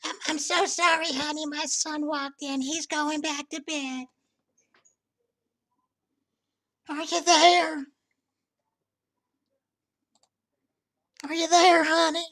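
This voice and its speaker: A woman doing a loud, bawdy older woman with a shrill, piercing voice who drawls slowly and talks over others. She is crude and giggly, and proud of her singing. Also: fairly even intonation. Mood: scolding. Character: brash crude 57-year-old woman, chain smoker, bar singer. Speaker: scam baiter. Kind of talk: prank voice chat with a scammer.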